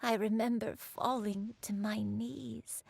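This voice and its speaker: An actress voicing a frail elderly grandmother in a game, who speaks softly and haltingly as she struggles to remember. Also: a little high, steady tone, a little hiss or hum, exaggerated voice. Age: older. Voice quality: breathy. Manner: hesitant, halting, soft-spoken. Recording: game audio with soft music.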